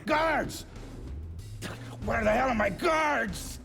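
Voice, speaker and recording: A man's voice: very high-pitched, very slow, vocal fry, a bit bright, faint music behind, exaggerated voice. A man playing a smug, defiant conspiracy villain in a video game, with a sardonic, condescending manner. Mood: alarmed, angry. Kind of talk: video game cutscene acting.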